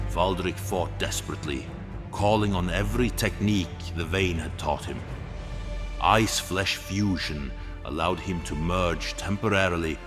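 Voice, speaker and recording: A man with a profoundly deep voice narrates a dramatic fantasy tale. His scripted delivery moves slowly, with music playing behind it.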